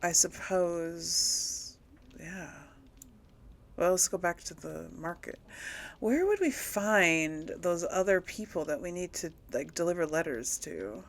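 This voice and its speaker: A woman's voice: on the low side, expressive, slow pace. A woman giving casual, unscripted game commentary to viewers, rambling a little as she thinks aloud. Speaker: let's play host.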